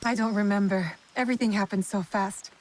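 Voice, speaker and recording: A woman voicing a determined heroine who questions lords directly and calmly in game cutscenes. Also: medium pitch, brisk, slight background noise, close to an everyday voice. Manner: direct, probing and calm. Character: cool determined female shinobi heroine. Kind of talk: video game cutscene acting.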